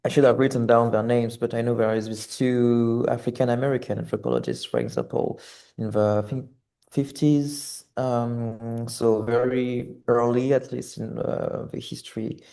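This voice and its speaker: A man's voice: mid-range voice, normal speed, vocal fry, dark tone. A man in his twenties from French Guiana, an artist talking reflectively as an interview guest, hesitant and searching for words.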